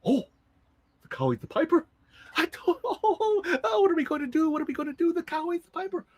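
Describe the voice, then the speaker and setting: A flustered, good-hearted farmer's wife from a told folk tale, fussing and repeating herself. Her voice sits high and swings wildly up into near-shrieks, with a husky edge, as a male storyteller puts her on. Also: expressive, brisk, dry recording, recorded from a distance. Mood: frantic. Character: fussy, kindly farmer's wife, middle-aged woman. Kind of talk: oral storytelling.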